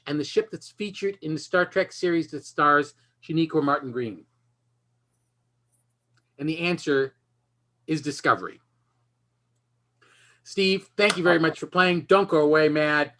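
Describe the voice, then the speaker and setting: A man hosts a casual online game show in a bright, forceful voice. He talks off the cuff with joking, self-deprecating asides, as if on a video call. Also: a little high. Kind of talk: casual unscripted hosting of an online quiz.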